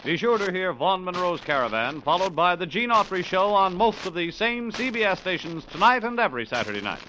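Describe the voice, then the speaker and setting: A man's voice: high-pitched, lively intonation, fairly quick, dull audio, noisy background. An American man working as a radio announcer in 1940s network broadcast style.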